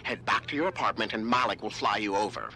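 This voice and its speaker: An actor playing a harried, reluctant tech expert in a video game, with an exasperated way of talking. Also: male voice, fairly low pitch, animated, fast, pushed and bright, slight background noise. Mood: tense, practical. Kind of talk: dramatic video game voice acting.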